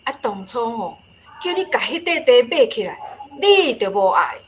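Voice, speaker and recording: A woman's voice: fairly high pitch, very animated, normal speed, slight background noise. An actress with a Taiwanese accent playing a nagging, worried older woman in a radio drama. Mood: grumbling. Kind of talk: conversational radio drama acting.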